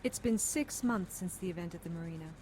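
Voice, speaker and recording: An actress playing a determined, weary detective's partner, sober and matter-of-fact in audio drama narration and dialogue. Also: female voice, on the low side, a little fast, a little mellow, a little hiss or hum, close to an everyday voice. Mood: resigned. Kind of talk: scripted first-person audio drama narration.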